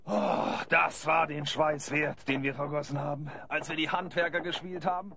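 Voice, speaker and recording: A man's voice: a little high, little pitch movement, normal speed, gravelly, sharp tone, a little hiss or hum. An actor playing a jovial, boastful male thief in a German audio drama. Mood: pleased, boastful. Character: jolly male thief, hyena spy's crony.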